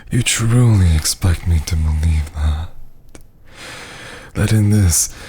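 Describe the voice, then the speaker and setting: A man playing a haughty, menacing vampire lord in an ASMR roleplay, breathy and husky, often dropping to a whisper, with theatrical archaic phrasing. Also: low-pitched, warm tone, slight background noise. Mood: disbelieving.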